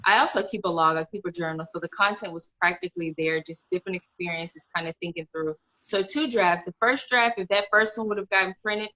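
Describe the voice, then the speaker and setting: A woman in her thirties talks openly as a guest author, circling back and restarting her thoughts as she goes. Her voice is bright and forward. It reaches us through a video call with dull, lo-fi livestream audio. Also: mid-range voice, fairly quick, hardly any pauses. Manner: candid, rambling, many restarts. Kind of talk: casual interview chat.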